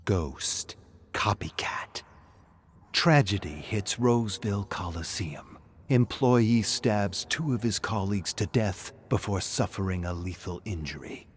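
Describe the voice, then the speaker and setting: Man acting a low, menacing, whispering killer. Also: a little hiss or hum, close to an everyday voice. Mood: cruel, deliberate. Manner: cruel whispering, slow taunting. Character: sadistic male killer journalist, mocking, coldly menacing. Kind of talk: audio horror story character.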